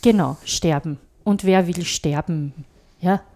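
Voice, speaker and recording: A woman's voice: fairly low pitch, slow, a little mellow. A woman with an Austrian accent in a casual political discussion, critical and emphatic, often adding short tag questions. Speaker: podcast panelist.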